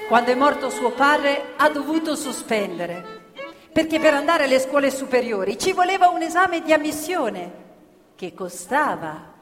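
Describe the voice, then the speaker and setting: Casting: female stage narrator, conversational theatrical delivery, fairly high pitch, reverberant hall. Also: sing-song, moderate pace, faint music behind. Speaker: actor-narrator. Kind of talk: theatrical storytelling of oral history.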